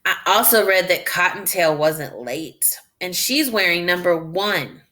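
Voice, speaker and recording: A woman reading a children's story aloud like a teacher, thinking out loud and guiding young listeners in a deliberate, instructional way. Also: lowish voice, expressive.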